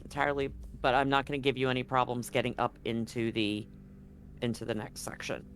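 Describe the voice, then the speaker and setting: A man narrates an improvised tabletop game as its game master. His voice is mid-pitched and he explains things informally, straight to the players. There is some clear background noise in the recording. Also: level tone, fairly quick, a bit bright.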